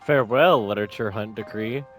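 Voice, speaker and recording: A man's voice: lowish voice, brisk, fairly bright tone. A man giving casual gameplay commentary, muttering indecisively as he thinks aloud. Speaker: gamer playing on stream. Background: game music.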